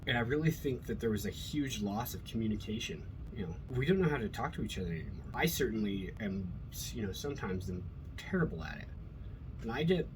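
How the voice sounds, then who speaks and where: American man, middle-aged, lower register. Earnest, blunt, off-the-cuff solo talk with profanity; clearly noisy recording. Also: animated, a little fast. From Colorado, USA. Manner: earnest, blunt, swearing freely. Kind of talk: casual rambling monologue. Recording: noticeable background noise.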